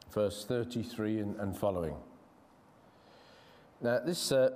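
A man with an English accent preaching a sermon in a church, earnest and conversational, slowing down to stress key words. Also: mid-range voice, dark tone. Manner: earnest, conversational, with frequent restarts and slow emphasis. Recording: long hall reverb.

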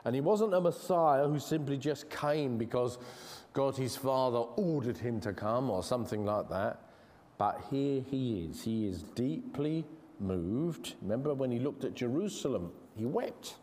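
A man with an English accent preaching a sermon in a church, earnest and conversational, slowing down to stress key words. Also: mid-range voice, a little mellow. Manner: earnest, conversational, with frequent restarts and slow emphasis. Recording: long hall reverb.

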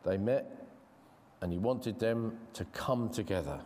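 A man with an English accent preaching a sermon in a church, earnest and conversational, slowing down to stress key words. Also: on the low side, animated, dark tone. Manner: earnest, conversational, with frequent restarts and slow emphasis. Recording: long hall reverb.

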